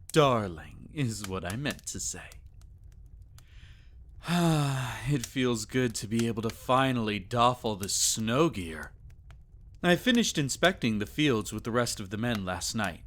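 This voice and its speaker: A man playing a teasing, doting vampire lord in an intimate ASMR romance roleplay, with soft chuckles and sighs. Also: mid-range voice, animated, takes it slowly, a little hiss or hum. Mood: teasing, affectionate.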